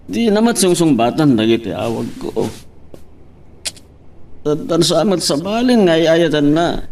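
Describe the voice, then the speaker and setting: A man's voice: a little high, expressive, a little mellow. A man playing a lovesick, plaintive husband and father in an audio drama. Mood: drunken lament. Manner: plaintive, drawn-out.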